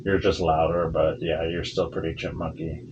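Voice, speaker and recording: A young man with a very low voice talks haltingly, pausing to find the right words. Clear room noise hums behind him. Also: little pitch movement, muffled recording. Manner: hesitant, searching for words, describing sounds. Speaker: cochlear implant patient. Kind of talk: casual conversation.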